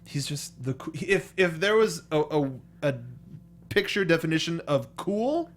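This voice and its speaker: A man's voice: fairly high pitch, expressive, a little slow. A man chatting casually and enthusiastically as a geeky co-host of a movie review show. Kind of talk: casual fan discussion.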